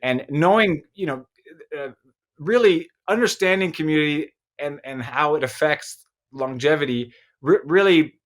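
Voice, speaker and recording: Thoughtful Egyptian-born man, halting speech. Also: a little high. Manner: thoughtful, many fillers and restarts. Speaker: filmmaker guest. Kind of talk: casual interview conversation.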